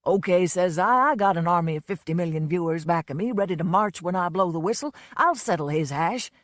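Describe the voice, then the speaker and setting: Woman doing a drunken braggart, loud, slurred, swaggering, swooping pitch, dry close sound. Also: animated, brisk, no echo, natural voice. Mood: belligerent. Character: drunken blustering man, a boastful folksy entertainer.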